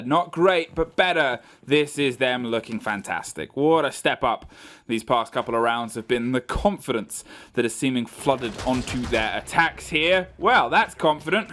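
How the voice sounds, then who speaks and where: A man's voice: medium pitch, sharp tone, a little hiss or hum. A man giving live commentary and analysis on a competitive video game match. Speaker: esports commentator.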